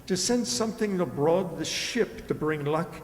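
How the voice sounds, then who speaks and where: A man's voice: a little high, lively intonation, normal speed, natural voice. A man playing a polite, dutiful household servant with formal manners in a radio play. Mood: courteous, proud. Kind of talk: children's radio play acting. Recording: very echoey hall recording.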